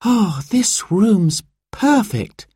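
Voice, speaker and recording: A man voicing an excited, curious young child in a storybook, with an eager, wide-eyed manner. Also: sits high, a little slow, dry recording, clean studio sound, pitched above the performer's own voice, full character voice. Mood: delighted.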